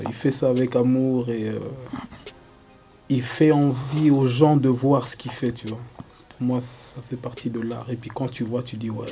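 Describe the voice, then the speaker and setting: A young man from Congo answering interview questions casually, loose and relaxed, with lots of fillers. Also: medium pitch. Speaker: rapper interviewee.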